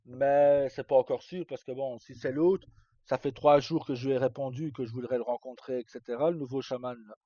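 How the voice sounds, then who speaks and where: A man's voice: medium pitch, steady tone, brisk, no room sound. A middle-aged man talks casually on a livestream in an informal, rambling and hesitant way. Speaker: live streamer. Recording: dull, lo-fi sound.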